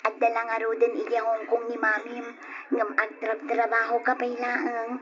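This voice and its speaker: A woman's voice: on the high side, completely flat delivery, a little slow, music in the background, lightly acted. A woman with an Ilocano accent acting a pushy young woman nagging a co-worker in an old radio drama. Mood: curious, teasing. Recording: dull lo-fi radio recording, background noise.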